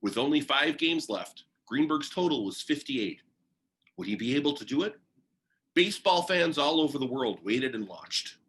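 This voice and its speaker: A man reading a biography aloud in an expressive storytelling manner. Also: medium pitch, moderate pace, rough recording. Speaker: reader of a biography. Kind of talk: read-aloud biography narration.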